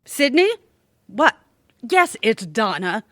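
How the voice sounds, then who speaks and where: A woman's voice: fairly high pitch, sing-song, slow pace, fairly bright tone, no room sound, studio quality, full character voice. A woman playing a haughty, vindictive, snide rival in a comedic audio drama. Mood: vengeful. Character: haughty, vindictive, insulting female rival villain.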